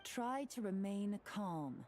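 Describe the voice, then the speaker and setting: A woman playing a composed, no-nonsense investigator in a video game, measured and authoritative. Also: medium pitch, expressive, noisy background. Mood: wary, calming. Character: composed, no-nonsense female investigator, firm and wary.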